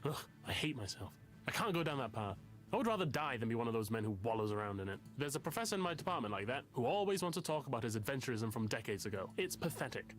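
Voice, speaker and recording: An actor plays a wordy young man wallowing in theatrical misery. He mocks himself with literary flourish, in a middle-pitched voice over faint music, as in a story-driven game. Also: close to an everyday voice. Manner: theatrical, wordy, self-mocking. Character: self-pitying, melodramatic literary young man in counseling. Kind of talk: visual novel game voice acting.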